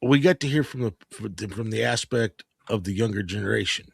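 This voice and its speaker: A man in his fifties on a ham radio livestream who talks haltingly and repeats words as he goes. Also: lowish voice, vocal fry, husky, no room sound, clean studio sound. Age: 50s. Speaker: ham radio guest on stream. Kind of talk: casual group chat on a livestream.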